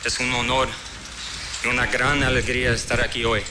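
A man's voice: medium pitch, flat delivery, moderate pace, background noise. A man from Miami, a navy commander, giving a prepared ceremonial speech. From Miami, United States.

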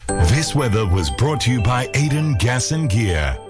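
A man's voice: medium pitch, sing-song, a little slow. A man reading a scripted radio sponsor message in an upbeat, sing-song way. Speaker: sponsor announcer. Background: music bed.

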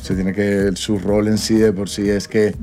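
Slangy young Colombian man, low voice. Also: flat delivery, a little slow, warm tone, faint music behind. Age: 20s. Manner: slangy, rambling, full of fillers and restarts. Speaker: singer being interviewed. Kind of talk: casual interview conversation.

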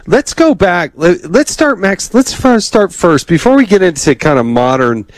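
A man's voice: sits high, big pitch swings, normal speed, no echo. A male interview host, chatty and enthusiastic, with a wry humor. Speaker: podcast host.

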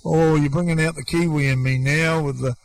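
A man hosting a casual radio interview, chatty and folksy, halting a little as he frames his questions. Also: a little high, fairly even intonation, creaky, a little hiss or hum.

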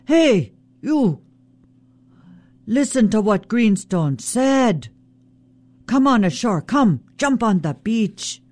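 A woman voicing a loud, scheming male trickster raven who yells taunts and insults. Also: on the high side, big pitch swings, slow, long pauses, no echo, voice pushed up, full character voice. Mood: taunting, yelling. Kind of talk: traditional oral storytelling.

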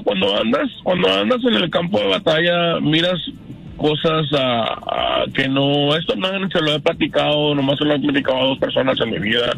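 A man in his fifties, from Canada, calling into a radio show and telling his story haltingly, with many restarts. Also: fairly high pitch, gravelly, a bit bright. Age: 50s. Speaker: caller. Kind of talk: phone-in testimony. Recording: phone call on radio.

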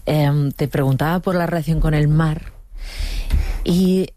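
A woman hosting a radio interview who asks her questions in a calm, measured way with deliberate pauses. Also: deep voice. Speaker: radio host.

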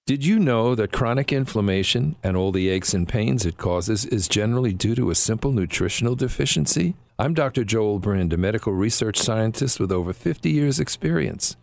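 A man's voice: mid-range voice, vocal fry, dry recording. Older man, doctor, radio ad read, earnest, explanatory, dry studio sound. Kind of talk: advertisement read.

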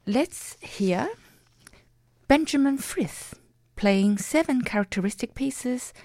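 A woman's voice: fairly high pitch, lively intonation, slow, darkish tone, no room sound. Woman radio host, dry studio sound. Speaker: radio presenter. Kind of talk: radio hosting and announcing.

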